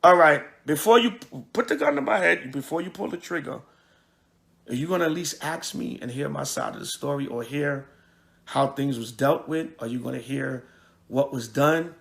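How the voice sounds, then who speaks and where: A man giving an informal preaching address as a religious leader, speaking emphatically with repetition and halting restarts. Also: a little high, expressive, gravelly, fairly bright tone. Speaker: priest and religious group leader. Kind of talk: livestreamed address mixing preaching and informal talk.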